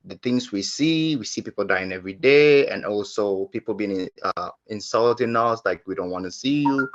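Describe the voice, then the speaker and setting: Low-voiced guy from cameroon on a video call, earnest, lots of ums and restarts, kinda muffled. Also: background noise. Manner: earnest, halting, many fillers and restarts. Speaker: panelist. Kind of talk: personal testimony on a webinar panel. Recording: slightly muffled lo-fi audio.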